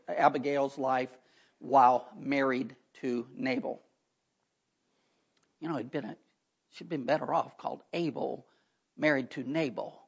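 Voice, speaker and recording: A hoarse man preaches a sermon in a church, his voice gravelly and rough. He talks in a folksy way and breaks off for chatty asides. The recording is dull and lo-fi. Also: fairly high pitch. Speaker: pastor. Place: church sanctuary.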